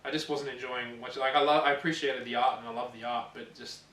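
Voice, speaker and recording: A man chatting casually as co-host of a gaming show, often halting and repeating himself as he thinks. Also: medium pitch, steady tone, a bit bright. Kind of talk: casual chat about films and games. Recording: roomy, distant mic with faint noise.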